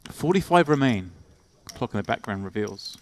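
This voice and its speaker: A man's voice: mid-range voice, animated, slight background noise. Jokey male poker co-commentator. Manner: jokey, quick one-liners. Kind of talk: live commentary banter.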